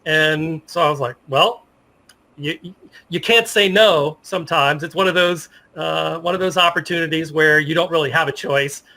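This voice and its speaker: A man's voice: on the high side, moderate pace, sharp tone. A middle-aged American man chatting and telling anecdotes as a guest in a casual interview. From United States. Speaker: interview guest, sports journalist. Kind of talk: casual interview conversation, reminiscing.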